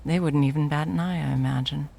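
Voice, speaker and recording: A woman playing a possessive, coldly menacing yet cloyingly sweet corporate ex-girlfriend in an intimate ASMR roleplay, taunting with drawn-out endearments and breathy whispers. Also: low voice, fairly even intonation, darkish tone. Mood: smug, condescending.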